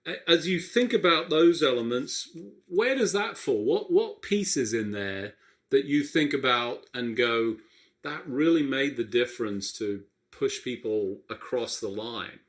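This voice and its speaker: A man in the host's seat of a health radio show, with a middle-pitched voice. He talks reflectively, often restarting sentences as he thinks aloud. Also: animated, a little slow, fairly bright tone. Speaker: radio show host, physician. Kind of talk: interview host.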